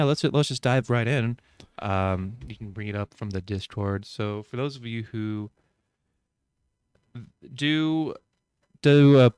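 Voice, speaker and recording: A man's voice: medium pitch, darkish tone, clean tone, no echo, clean studio sound. A man co-hosting a podcast, rambling and joking in casual chat with lots of fillers. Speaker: podcast co-host.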